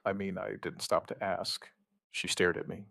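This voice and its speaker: A man playing an outbreak survivor who gives a wry, weary witness account in an audio drama, in short, halting, understated phrases. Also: deep voice, little pitch movement, gravelly, bright, forceful tone, no echo, studio quality, heavily acted. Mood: tense, dry. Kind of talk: scripted first-person audio drama narration.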